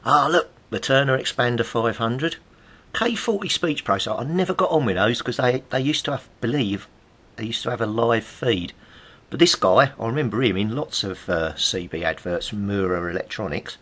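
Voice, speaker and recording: A man's voice: mid-range voice, expressive, moderate pace, a bit bright, husky. A middle-aged British man giving casual, rambling, nostalgic commentary, chatty and musing aloud as he goes. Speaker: hobbyist presenter.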